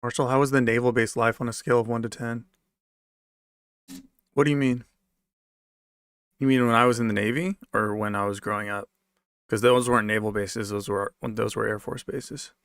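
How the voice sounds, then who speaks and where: Male livestreamer, American, casual and repetitive, mid-pitched with vocal fry, dry sound. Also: fairly even intonation, moderate pace, long pauses, fairly bright tone, dry recording. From United States, raised moving around in a military family. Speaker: livestream host answering viewer comments. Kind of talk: casual off-the-cuff chat with viewers.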